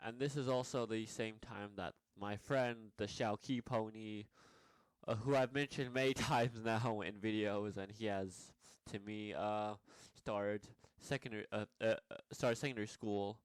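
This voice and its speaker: A man's voice: lowish voice, smooth, dry recording. A young man from Nepal telling his life story in a rambling vlog, with many fillers and restarts. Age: young adult. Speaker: vlogger.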